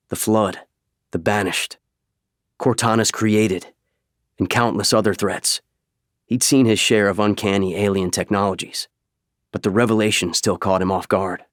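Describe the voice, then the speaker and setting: A man reading scripted audiobook narration in a measured, dramatic way, close to a studio microphone. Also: on the low side, fairly even intonation, dry recording.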